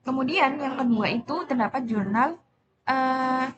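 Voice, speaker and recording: A young adult woman giving an explanatory class presentation as a student, in a halting, self-correcting manner. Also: high-pitched, noisy background. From Indonesia.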